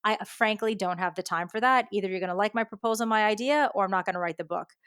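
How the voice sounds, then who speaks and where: A woman's voice: medium pitch, fairly bright tone, clear, resonant tone, no echo. Woman telling her story, dry sound. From United States. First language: Japanese. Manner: brisk, candid storytelling. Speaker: guest. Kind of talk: podcast interview.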